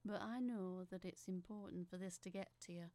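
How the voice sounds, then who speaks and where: A woman's voice: medium pitch, a little fast, dark tone, no room sound, natural voice. A woman playing a chatty, apologetic working-class factory worker, telling her story as a rambling, wistful letter in an audio drama. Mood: apologetic, anxious. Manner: rambling, self-deprecating, wistful.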